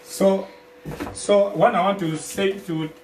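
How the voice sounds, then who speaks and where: A man from Cameroon with a Cameroonian accent presents products informally, in a halting way that repeats and rephrases as he goes. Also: high-pitched, steady tone, a little mellow. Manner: halting, repetitive, rephrasing phrases several times. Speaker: health product sales partner, guest presenter. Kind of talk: informal livestream product pitch. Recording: echoey, noisy, distant livestream mic.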